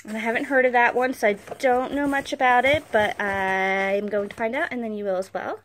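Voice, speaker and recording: A woman's voice: a little high, fairly even intonation, a little fast, fairly bright tone, a little hiss or hum. A woman hosting a book vlog, giving casual unboxing commentary in an informal, chatty way. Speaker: book blogger and video host. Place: carpeted room at home.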